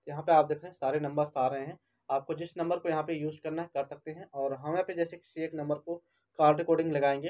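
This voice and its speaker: A man's voice: fairly high pitch, a little mellow, highs cut off. A man narrating a step-by-step phone tutorial, repeating instructions and addressing viewers as friends. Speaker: tech tutorial YouTuber and host.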